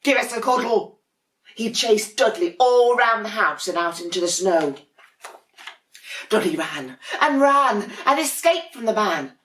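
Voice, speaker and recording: A woman, a teacher, reading a children's storybook expressively with dramatic pauses in an echoey room. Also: mid-range voice, lively intonation, fairly bright tone.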